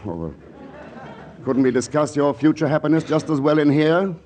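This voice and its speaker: An actor playing a suave, glib, courteous gentleman in a 1940s radio comedy. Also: on the high side, normal speed, close to an everyday voice. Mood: suave, persuasive. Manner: polished, courteous, smooth-talking. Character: suave, glib gentleman stranger charming an engaged couple. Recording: vintage 1940s radio broadcast.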